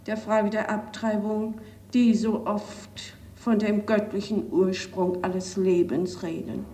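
Roomy and a little distant, an earnest female politician of postwar Germany. Her raised voice moves very slowly and deliberately, with the emphatic resolve of an orator in a radio drama. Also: a little high, darkish tone. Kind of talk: audio drama re-enactment of a 1940s radio address. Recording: roomy echo, distant from microphone.

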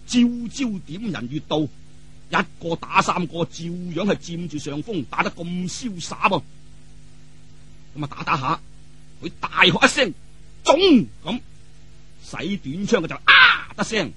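A male Cantonese storyteller with a high voice that swings widely in pitch. His tone is bright and forceful with a husky edge, and he tells martial arts tales theatrically in colloquial style, throwing in vivid exclamations. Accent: Hong Kong or Guangdong Cantonese.

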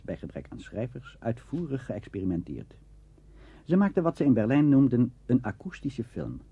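A man's voice: mid-range voice, vocal fry, breathy edge, dry recording. A man with a Netherlands Dutch accent reading scripted narration for a documentary. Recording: dull, lo-fi radio recording.

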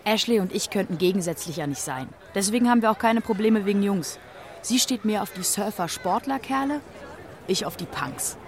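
A woman's voice: mid-range voice, animated, a little fast, some chatter behind, lightly acted. An actress playing a bitter, self-mocking teenage girl confiding a sardonic monologue in an audio drama. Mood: scornful.

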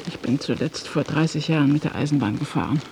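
An actress playing a stranded, demanding married woman traveller in a radio play, speaking in deliberate, imperious short phrases. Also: sits low, noisy background. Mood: plaintive. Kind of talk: German radio play acting.

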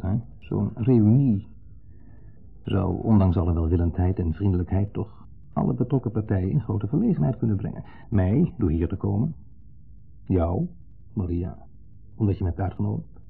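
An actor playing a wistful, brooding, middle-aged ex-husband in a radio play, speaking in wordy, hesitant, formally polite sentences with a pointed undertone. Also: male voice, on the low side, animated, normal speed, gravelly, pauses often, slightly rough, exaggerated voice. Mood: measured, wry. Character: wistful, brooding ex-husband, a long-winded dinner guest. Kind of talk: 1970s Dutch radio play acting. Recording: muffled, phone-like 1970s radio recording.

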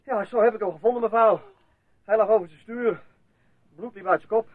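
A man's voice: high voice, fairly quick, no echo, phone-quality sound. A man playing an eager-to-please, down-on-his-luck drifter in a radio drama, speaking colloquially and deferentially. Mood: earnest, eager. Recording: thin, band-limited old radio play recording.

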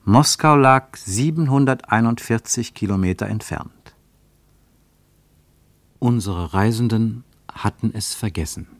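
A man narrating a radio travel play in a measured, lyrical way, with dramatic swings in pitch and loudness. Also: no room sound.

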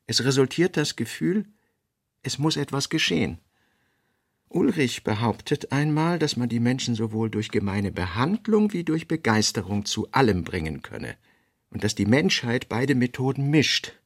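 A man with a gravelly, creaky voice reads literary notes for a radio production. The sound is dry and close, with no room around it. His delivery is deliberate and clipped, setting out fragments and theses one by one. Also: medium pitch, sing-song, no echo. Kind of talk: scripted literary radio reading, essayistic and fragmentary.

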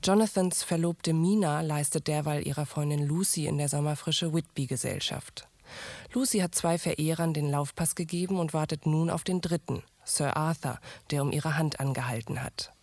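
A woman's voice: low voice, normal speed, darkish tone, no echo. A woman reading scripted narration that introduces a radio drama.

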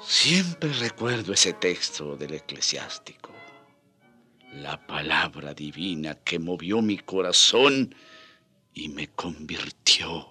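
An actor playing a frail, devout old friar in a radio drama, with a husky, worn voice and a halting, earnest, pleading manner. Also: male voice, deep voice, sing-song, sharp tone, faint music behind, heavily acted. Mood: fervent. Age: older.

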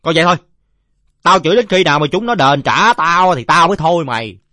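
A man's voice: on the high side, animated, fast, sharp tone, dry recording, clean studio sound, pitched above the performer's own voice. A man voicing a belligerent, drunken village father in a story, blustering and coarse-mouthed. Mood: indignant.

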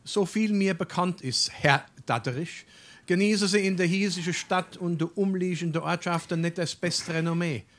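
A man's voice: sits high, moderate pace, fairly bright tone. A man playing a stuffy, respectable burgher father in a Darmstadt dialect comedy, speaking formally and ponderously. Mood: stern, formal. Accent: Darmstadt Hessian. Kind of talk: dialect stage comedy acting.

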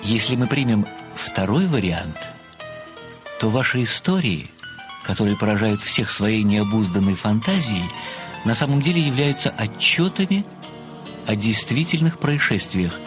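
An actor playing an obsequious, methodical amateur sleuth who speaks formally and deliberately, with flowery deference, in an audio play. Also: male voice, lowish voice, expressive, over music. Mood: methodical, earnest. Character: obsequious, methodical amateur male sleuth, adoring a famous writer.

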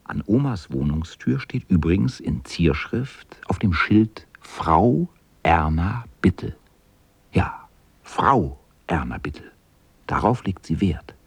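A man narrating a children's radio play as a calm storyteller with a husky voice. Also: low voice, slow pace, no echo, heavily acted. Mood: storytelling.

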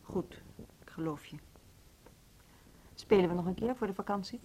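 An actress playing a subdued, trusting music school colleague in a radio drama, soft-spoken. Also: female voice, medium pitch, normal speed, slight background noise, natural voice. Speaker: actor in a radio play. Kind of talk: 1970s Dutch radio drama acting.

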